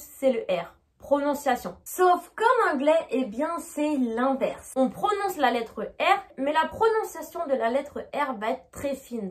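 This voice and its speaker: Young French-accented woman, high voice, chatty teacher talking to camera. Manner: chatty, keeps asking "d'accord?". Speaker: English teacher. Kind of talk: online lesson to camera.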